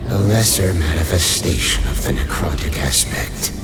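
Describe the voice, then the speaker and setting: A man playing an ancient undead archlich in a dark fantasy radio play, cold, commanding and disdainful, with a gravelly, imperious delivery. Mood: cold, dismissive. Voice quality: very deep, gravelly. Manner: slow, imperious, dismissive. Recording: loud ominous music behind. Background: dark scary music.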